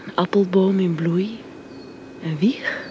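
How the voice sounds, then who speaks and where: A woman playing a shy young woman, dreamy, hesitant, hushed, radio play, faint hiss. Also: fairly low pitch, takes it slowly, highs cut off. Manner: hesitant, dreamy, partly whispered. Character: shy, gentle young woman being courted. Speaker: Marion. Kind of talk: radio drama acting.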